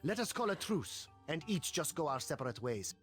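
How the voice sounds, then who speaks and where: A haughty, high-voiced medieval king. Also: very animated, moderate pace, loud music behind the voice. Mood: guarded. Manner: imperious, formal. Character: haughty, hostile medieval king barking orders. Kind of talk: scripted game dialogue.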